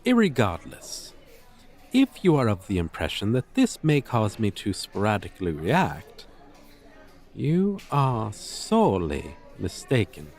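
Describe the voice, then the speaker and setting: A man's voice: on the low side, huge pitch swings, slow pace, a little mellow, full character voice. A man voicing a proud, scornful dragon teacher in a roleplay, speaking in pompous, stilted, formal phrases. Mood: smug, condescending. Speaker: roleplay voice performer. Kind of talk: ASMR roleplay monologue, theatrical.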